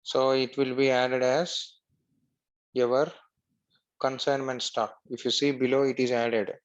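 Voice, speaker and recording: A man narrating a screen-share software tutorial step by step as an instructor. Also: medium pitch, flat delivery, takes it slowly, dull audio.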